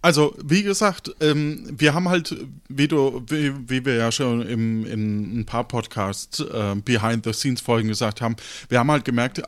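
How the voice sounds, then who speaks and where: Mid-pitched man in a dry-sounding chat. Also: no room sound. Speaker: podcast host and game creator. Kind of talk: casual behind-the-scenes chat.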